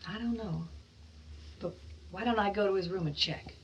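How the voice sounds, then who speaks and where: Heard at some distance over clear noise, a middle-aged mother played by an actress speaks in a radio play. She is an anxious, conciliatory widow with a mid-pitched voice. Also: moderate pace, a bit bright, muffled recording, natural voice. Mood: hesitant. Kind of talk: radio drama acting.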